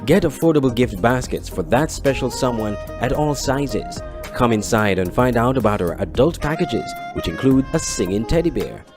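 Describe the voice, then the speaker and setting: A man's voice: medium pitch, expressive, normal speed. Man reading an ad over music. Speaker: advertisement announcer. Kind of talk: scripted advertisement read.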